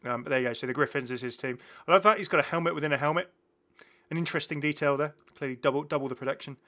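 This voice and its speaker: A man's voice: fairly high pitch, rapid-fire, bright, forceful tone. A British man giving casual, enthusiastic game commentary, rambling and often correcting himself as he goes. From United Kingdom. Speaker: gaming video host.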